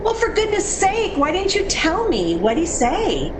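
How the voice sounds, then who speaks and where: A woman's voice: extremely high pitch, wildly swooping pitch, unhurried, noisy background, exaggerated voice. An actress playing a sensible, worried woman in a 1940s radio drama, speaking with earnest, theatrical delivery. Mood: brisk, curious. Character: sensible, worried woman friend, haunted when narrating the past. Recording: echoing radio play recording with music behind.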